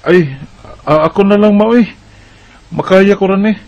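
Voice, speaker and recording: A man's voice: high-pitched, a little slow, darkish tone, muffled recording. A man playing a dutiful, earnest young farm son in a radio drama, speaking Bisaya with a Cebuano accent. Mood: earnest, obedient. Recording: noisy amateur recording with clicks.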